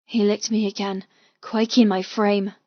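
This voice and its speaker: A woman's voice: medium pitch, little pitch movement, measured pace, no room sound, lo-fi sound. Woman narrating romance, dry muted sound. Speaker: audiobook narrator. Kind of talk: dramatic first-person romance audiobook narration.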